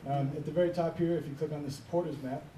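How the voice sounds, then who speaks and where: A man's voice: a little high, steady tone, rapid-fire, dark tone, a little hiss or hum. A man on a conference panel walking the audience through a website demo. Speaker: panelist, platform founder. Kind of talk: presentation. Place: conference room. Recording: echoey room, distant mic.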